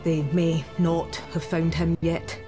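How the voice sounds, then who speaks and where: An actress playing a weary female resistance fighter in a video game, speaking in an urgent, measured way. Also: sits low, completely flat delivery. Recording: game audio under music.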